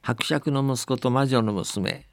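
A man's voice: mid-range voice, slow, no room sound, clean studio sound. A man hosting a folktale programme, telling stories and commenting on them in a folksy, chatty way that seeks agreement. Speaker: host reading and explaining folktales on a radio programme. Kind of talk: storytelling and casual commentary.

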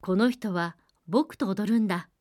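A woman's voice: mid-range voice, unhurried, a little mellow, dry recording, clean studio sound, close to an everyday voice. Woman reading as insistent young storybook prince. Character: young prince, courteous, possessive and insistent suitor.

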